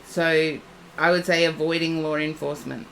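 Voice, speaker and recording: A woman giving a psychic reading on a live stream, soothing and reassuring, her voice going husky and hoarse. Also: deep voice, steady tone, normal speed, a little hiss or hum, low-quality recording. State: losing her voice.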